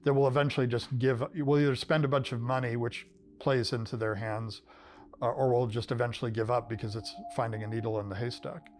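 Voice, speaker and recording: A man's voice: mid-range voice, little pitch movement, brisk, faint music behind. A man explaining events in a documentary interview, with occasional fillers.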